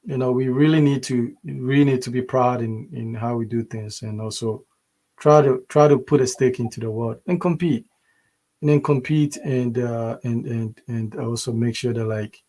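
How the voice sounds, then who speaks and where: A man's voice: medium pitch, normal speed, mellow. A man from Ghana co-hosting a podcast, talking in an emphatic, motivational way with many restarts. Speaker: podcast co-host. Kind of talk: casual discussion.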